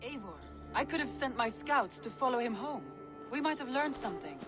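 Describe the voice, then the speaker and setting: Woman as Viking war adviser, video game drama, fairly high voice, firm, frank, level-headed, loud music behind. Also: lively intonation, normal speed, lightly acted. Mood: firm, reproachful. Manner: firm, formal. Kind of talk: cinematic game dialogue.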